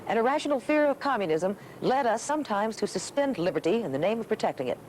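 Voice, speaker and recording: A woman's voice: a little high, animated, fast, a little mellow, slight background noise, poor audio. An American woman hosting a television documentary, reading scripted narration in rhetorical, clipped phrases.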